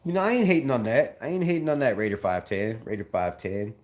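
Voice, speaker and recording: A man's voice: on the low side, quick pace, a little mellow. Slangy young man hosting a fan livestream. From Southern California. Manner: loose, slangy, repeats himself. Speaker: fan channel livestream host. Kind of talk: casual livestream chat reading comments.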